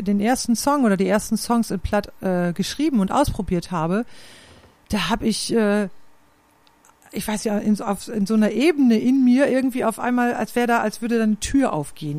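A woman talks in a relaxed, reflective way, pausing and filling gaps as she searches for her words. Her voice sits mid-range, and the recording is dry and close, with almost no room sound. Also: medium pitch, no room sound. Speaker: podcast host and singer. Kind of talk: casual conversation.

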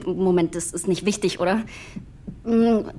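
A woman's voice: medium pitch, slow, a little mellow. A woman playing a curious, self-conscious marketing idealist who rambles in a German audio drama. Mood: nervous, chatty. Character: chatty, curious female marketing idealist, keen go-getter.

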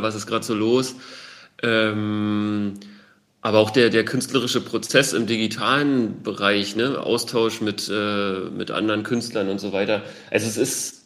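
A man from Berlin, a guest artist on a podcast, answering thoughtfully with many pauses and fillers. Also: fairly low pitch, fairly bright tone. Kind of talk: casual podcast conversation.

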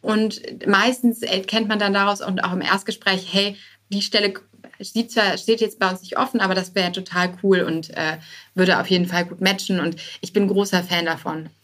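A woman's voice: medium pitch, steady tone, moderate pace, a bit bright. Conversational woman, informal interview guest. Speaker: podcast guest, startup co-founder.

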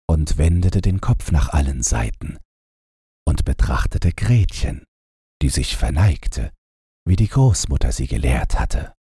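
A male audiobook narrator reads a bedtime fairy tale at a slow pace. His voice is dark and very husky, with expressive swings in pitch. It is scripted storytelling meant for falling asleep. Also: no room sound, studio quality.

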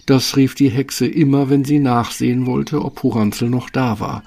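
Gravelly male fairy-tale narrator. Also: medium pitch, fairly even intonation, moderate pace, very noisy. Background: music.

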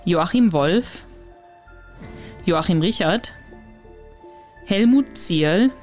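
A woman's voice: lowish voice, lively intonation, extremely slow, darkish tone, faint music behind. A woman hosting a casual podcast, reading out names as a quiz and giving short, matter-of-fact answers. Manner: matter-of-fact, terse confirmations. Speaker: podcast host, quizmaster. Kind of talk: casual chat, reading out a name list.

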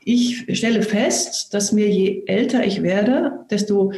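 A middle-aged woman, an actress, speaking reflectively and searchingly in conversation, with many pauses. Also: medium pitch, echoey room. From Germany. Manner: thoughtful, searching, soft-spoken with many pauses. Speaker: actress and co-host.

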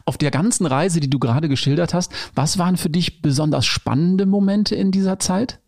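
Podcast host, male, higher voice with heavy vocal fry, upbeat interviewer. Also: fairly quick, darkish tone. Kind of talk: conversational interview hosting.

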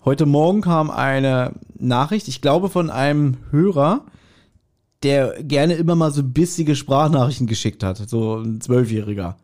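A man's voice: mid-range voice, unhurried, a little mellow. A man of around forty co-hosting a podcast in casual chat, telling stories in a teasing, mock-indignant way. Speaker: podcast co-host.

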